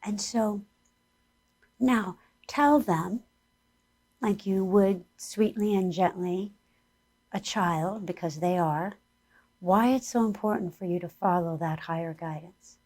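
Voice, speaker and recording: A woman with a hoarse voice leading a gentle, earnest guided spiritual exercise as a spiritual teacher. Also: medium pitch. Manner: slow, gentle and soothing guidance; earnest, speaks about Spirit and angels.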